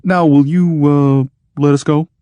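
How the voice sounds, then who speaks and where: A man voicing an anxious, fawning male inventor who pleads in a high, wheedling voice. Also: animated, a little slow, dark tone, no room sound. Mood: frantic. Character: anxious, fawning male constructor, eager peacemaker.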